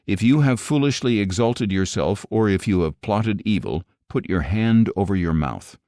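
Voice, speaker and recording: A man with a very low voice reads scripture as a narrator. His delivery is measured and solemn, with deliberate phrasing and lively rises and falls in pitch. The sound is dry and close, with no room around it. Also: no room sound. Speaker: audiobook narrator.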